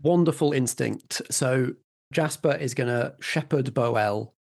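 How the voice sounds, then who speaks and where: A man's voice: medium pitch, a little slow, creaky. A man narrating scenes and giving rulings as the game master of a tabletop role-playing game.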